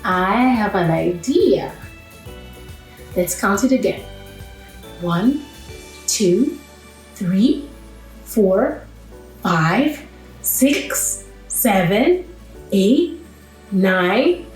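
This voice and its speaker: A woman's voice: medium pitch, expressive, very slow, pauses often. A woman hosting a children's read-aloud, talking playfully to young listeners and asking them questions. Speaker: children's storyteller and host. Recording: roomy echo with music.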